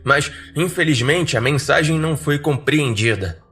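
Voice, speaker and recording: A man with a Brazilian accent narrating a first-person horror story in a dramatic, wry and expressive way. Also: a little high, normal speed.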